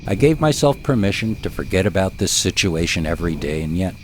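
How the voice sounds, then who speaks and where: A man's voice: low voice, sing-song, brisk, slight background noise. A man narrating scary stories in a dramatic style as the host of the show. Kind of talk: dramatic horror story narration.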